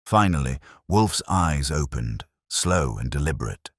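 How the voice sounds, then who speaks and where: A man narrating a first-person detective story in a measured, dramatic storytelling manner. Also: extremely low pitch, very animated, husky, dry recording, clean studio sound. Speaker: audiobook narrator.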